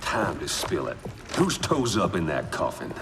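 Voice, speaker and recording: An actor playing a blunt, wisecracking, streetwise fighter in a video game cut-scene, slangy and suspicious. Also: male voice, low-pitched, wildly swooping pitch, a little slow, music in the background, heavily acted. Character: brash, streetwise, wisecracking tough male fighter. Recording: noisy mechanical ambience.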